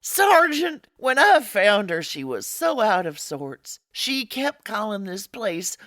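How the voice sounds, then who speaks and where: A kindly old frontier cook played by a woman, speaking slowly with hugely animated swoops of pitch. She is motherly and soft-hearted, in a dry, close comedy audio drama recording. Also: no room sound. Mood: sympathetic.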